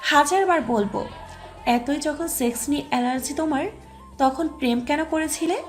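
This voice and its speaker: A high-pitched, bossy neighbour woman played by a woman in an audio story drama. She scolds and lectures with sarcastic, needling questions. Soft music runs behind her. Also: fairly quick, close to an everyday voice. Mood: angry warning.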